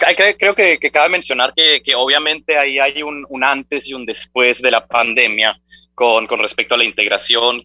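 Guest: man, startup founder, bright tense voice, dry sound, lively and chatty. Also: medium pitch, dry recording, dull audio. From Germany. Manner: friendly, animated, many fillers and restarts. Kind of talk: radio interview, casual conversational answers.

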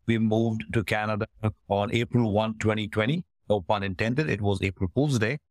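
A man's voice: on the low side, no room sound. A man from Kuwait, a guest on an interview podcast, talking in a friendly, upbeat way about his life. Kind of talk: casual conversation.

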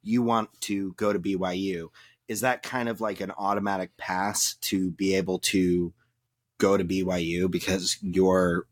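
Male podcast co-host with a lowish voice, dry close sound, who asks pointed questions. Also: flat delivery, a little slow, no echo. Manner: probing, teasing questions. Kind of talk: casual debate chat.

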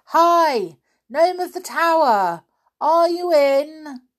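Woman as a brisk, animated young storybook adventurer. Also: medium pitch, pitched above the performer's own voice. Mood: calling out. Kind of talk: children's storybook character voice.

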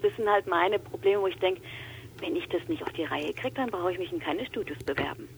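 Upbeat Franconian woman, quick laughs. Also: on the low side, vocal fry, a little hiss or hum, low-quality recording. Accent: Franconian German with Swabian traces. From Franconia, Germany. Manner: enthusiastic, laughs often. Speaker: guest, aspiring voice actor. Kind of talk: casual chat.

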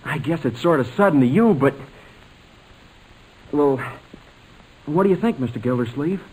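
An earnest young suitor from 1940s radio comedy. His voice sits a little high for a man, and he speaks with careful, hesitant deference. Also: expressive, moderate pace, a little mellow, husky, poor audio. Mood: earnest, nervous. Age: young adult.

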